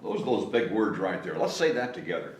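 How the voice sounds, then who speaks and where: A man's voice: low voice, sing-song, a little fast. A man reading a picture book aloud to children in a playful, coaxing way, stretching words for effect and inviting listeners to join in. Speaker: story time reader, host. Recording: echoey room, distant microphone.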